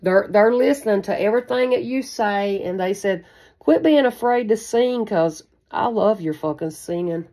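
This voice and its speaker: A woman's voice: mid-range voice, lively intonation, normal speed, warm tone. A woman giving a casual, improvised tarot reading in a rambling, repetitive, folksy way, swearing now and then. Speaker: tarot reader hosting a spiritual channel. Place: small indoor room at a card table.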